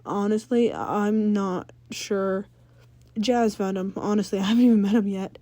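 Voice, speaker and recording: A woman voicing an earnest, unsure teenage boy hero in a read-aloud story, speaking in a hesitant, halting way. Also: medium pitch, dark tone, no echo, lightly acted.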